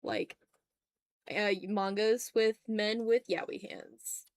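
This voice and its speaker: A woman's voice: medium pitch, steady tone, drawn-out words, dry recording, clean studio sound. A woman chatting informally on a livestream while she draws, muttering asides to herself as she works. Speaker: art streamer. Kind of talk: casual livestream chat while drawing.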